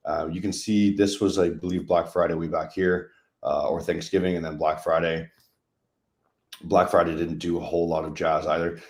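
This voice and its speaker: A man's voice: low voice, darkish tone, echoey room. A man hosting a casual trading livestream, chatting loosely over charts with plenty of fillers. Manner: laid-back, chatty, many fillers.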